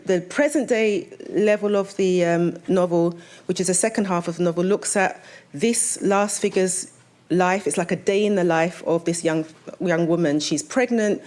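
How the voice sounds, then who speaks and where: A woman's voice: fairly low pitch, a little mellow. A woman novelist talking about her own work as a panelist, in a conversational way that draws the audience in with questions.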